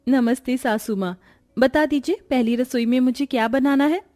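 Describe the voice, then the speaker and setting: Young cartoon bride acted by a woman: high voice, polite, eager, respectful to elders. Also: moderate pace, a little mellow, lightly acted. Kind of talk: cartoon voice acting.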